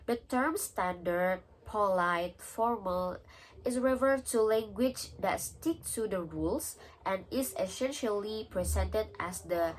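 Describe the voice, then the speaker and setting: A young woman with an Indonesian accent, answering exam questions and pausing often with filler sounds. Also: mid-range voice, slight background noise, poor audio. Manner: hesitant, many pauses and filler sounds. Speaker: student.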